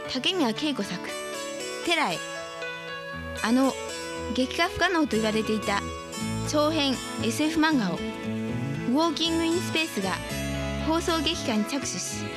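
A woman's voice: high voice, lively intonation, unhurried, pauses often. A woman reading a scripted promotional announcement as the announcer of a radio drama. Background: loud music.

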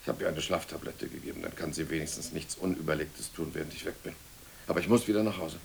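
Deep, gravelly, reserved gentleman. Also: steady tone, fast talker, heavily acted. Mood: worried, brisk. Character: worried, courteous, reserved titled gentleman. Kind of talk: radio drama acting. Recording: hissy, noisy radio recording.

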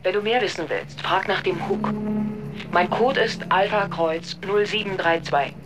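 Woman playing secretive ominous caller, medium pitch, radio play, loud music under. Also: a little slow, close to an everyday voice. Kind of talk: German radio drama acting.

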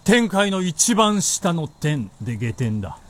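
A man's voice: on the high side, sing-song, slow, heavily acted. A man playing an imperious, proud warlord with haughty, archaic lordly speech in a period radio drama.

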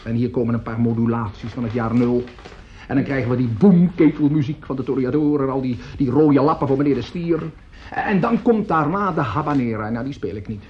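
An actor playing an excitable, coarse opera conductor in a radio play, effusive and grumbling, stammering and swearing as he talks. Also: medium pitch, a little fast, slight background noise, lightly acted. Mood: scornful, animated. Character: excitable, coarse, opinionated male opera conductor at his piano. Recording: dull old radio recording, piano music.

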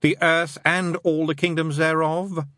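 A man's voice: a little high, flat delivery, unhurried, sharp tone, dry recording, clean studio sound, voice pushed up. A man voicing a glum, sardonic demon who needles and persuades in colloquial, emphatic lists, in an audiobook story. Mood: gloomy.

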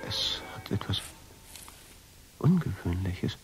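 An actor playing a weary, intrigued male traveler, telling his story as a radio drama narrator in a measured, brooding way. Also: deep voice, big pitch swings, exaggerated voice, low-quality recording. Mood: musing. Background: music.

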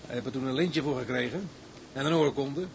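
An actor playing an admiring, gossiping deckhand in a drama, eager to tell tales. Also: male voice, mid-range voice, a little fast, a little hiss or hum, natural voice. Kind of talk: dramatic dialogue.

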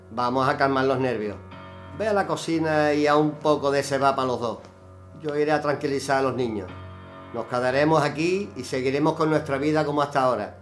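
Actor playing a stubborn domineering Spanish husband. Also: male voice, medium pitch, natural voice. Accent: Spain. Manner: insistent, curt, emphatic. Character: stubborn, domineering husband and father in wartime Málaga. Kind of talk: audio drama acting.

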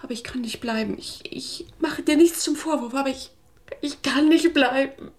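An actress playing a hurt, distrustful, tired wife in a German radio drama. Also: female voice, high-pitched, normal speed, darkish tone. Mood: anguished, resigned.

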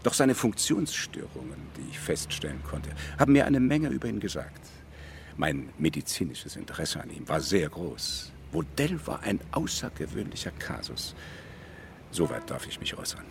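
An actor playing a haughty, punctilious French physician in a German radio crime drama, pompous and formal. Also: male voice, very deep voice, very animated, normal speed, gravelly, slight background noise, exaggerated voice. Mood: formal, reserved.

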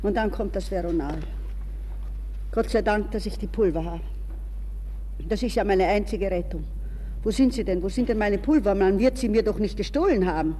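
Radio drama: actress as young lady, vain, defiant, feverishly wavering; mellow tone, reverberant slightly noisy recording. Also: mid-range voice, moderate pace, long pauses, natural voice. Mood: anxious, brooding. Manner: theatrical, self-addressed, swinging from murmurs to exclamations. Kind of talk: 1960s German radio drama monologue. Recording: reverberant hall sound, faint noise.

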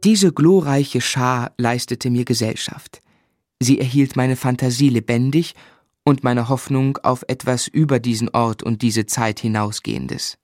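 A male actor narrating a radio play as a grown man looking back on his boyhood, in a measured, reflective storytelling manner. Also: mid-range voice, darkish tone, dry recording, studio quality, faint music behind, natural voice.

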